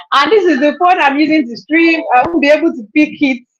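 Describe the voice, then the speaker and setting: A woman's voice: sits high, normal speed. Chatty woman live streaming. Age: 30s. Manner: chatty, repeats herself, laughs often. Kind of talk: casual live stream chat. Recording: dull lo-fi stream audio.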